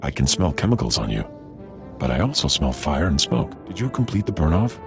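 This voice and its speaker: A man voicing a solemn settlement leader with a formal, measured manner in a video game. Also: a bass voice, very animated, vocal fry, over music, heavily acted. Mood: earnest, grateful. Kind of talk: video game dialogue acting.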